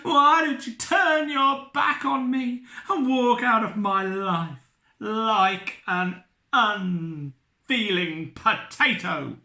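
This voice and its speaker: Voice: mid-range voice, takes it slowly, sharp tone, roomy sound, pitched above the performer's own voice. A storyteller voicing a drunken, melodramatic old sea captain who wails and cries out. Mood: pleading. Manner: theatrical, wailing, anguished.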